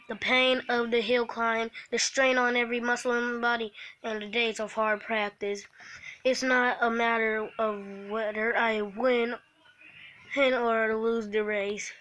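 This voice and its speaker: A woman livestreamer reading a visual novel aloud, haltingly, breaking off for casual offhand asides. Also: on the high side, flat delivery, fairly bright tone. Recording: noisy mobile stream with clicks.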